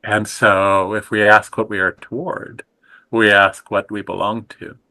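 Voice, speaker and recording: A middle-aged man speaking slowly and softly in a reflective, contemplative conversation, his voice trailing off at the ends of phrases. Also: lowish voice, level tone. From United States. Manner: slow, soft and contemplative, trailing off quietly. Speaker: guest teacher.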